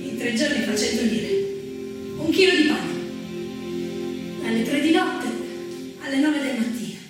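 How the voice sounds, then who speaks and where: A woman playing a naive, stubborn jasmine picker in a halting, insistent theatre monologue. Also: high-pitched, animated. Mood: stubborn, wistful. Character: young naive female jasmine picker, proud, stubborn, weary. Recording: roomy echo, distant mic, music behind.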